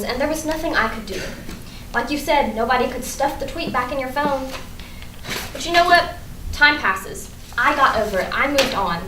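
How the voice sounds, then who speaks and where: A woman acting a proud, blunt, guarded high school girl with an emphatic, dry manner in a staged play reading. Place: indoor room at a staged reading. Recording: roomy, distant mic, faint noise.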